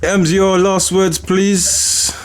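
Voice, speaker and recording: A man's voice: sits high, flat delivery, extremely slow, a little hiss or hum. A man hosting a casual podcast chat in an informal manner, with affirming interjections. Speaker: podcast host and author.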